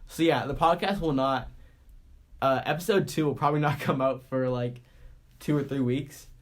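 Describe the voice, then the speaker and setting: A man from the Boston area co-hosting a podcast in casual chat, congested from a cold, talking in halting bursts with lots of restarts and fillers. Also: mid-range voice. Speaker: podcast co-host.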